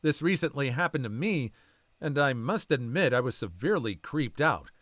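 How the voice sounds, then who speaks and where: Male story narrator, mid-pitched, animated intonation, dry close sound. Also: normal speed, no echo. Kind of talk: reading online posts aloud.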